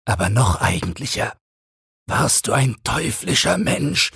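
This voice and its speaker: Vengeful old father for a literary audiobook, performed by a male reader: mocking, condemning, breathy-rough voice, recorded very dry. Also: mid-range voice, big pitch swings, measured pace, fairly bright tone, dry recording, voice pushed up, heavily acted. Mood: stern, condemning. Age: older. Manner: taunting, declamatory, condemning. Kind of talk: dramatic audiobook character reading.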